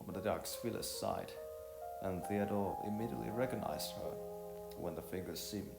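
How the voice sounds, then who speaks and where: A man's voice: low voice, vocal fry. A man reading a scripted story as an amateur narrator, stumbling and repeating words as he goes. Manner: halting read with stumbles and repeats. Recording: roomy echo with music behind. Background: music.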